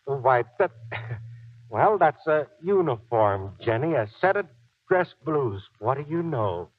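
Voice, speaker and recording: An actor playing a gruff, boastful but soft-hearted old Marine sergeant and husband in a 1940s radio drama, with slangy, folksy, ungrammatical talk. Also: male voice, mid-range voice, sing-song, slow pace, no room sound. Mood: sheepish. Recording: dull, lo-fi 1940s radio recording.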